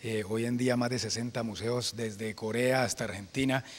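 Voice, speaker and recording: A man's voice: mid-range voice, steady tone, moderate pace, a little hiss or hum. A man with a Colombian accent interviewing in a deferential, wordy way for a news broadcast.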